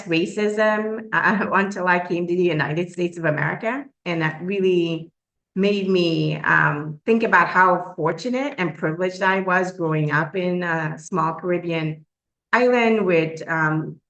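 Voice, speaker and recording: A woman's voice: lowish voice, fairly bright tone, echoey room. A woman from Trinidad, a professor, giving an earnest webinar talk with frequent ums and uhs. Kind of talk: webinar presentation.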